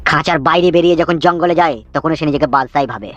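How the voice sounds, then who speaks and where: Fast-talking swaggering cartoon gangster, acted by a man. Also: fairly high pitch, a bit bright, no echo, highs cut off, natural voice. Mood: menacing swagger. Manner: crude, cocky, bragging. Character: swaggering boastful male gangster and mafia fixer. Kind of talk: comedy cartoon dubbing.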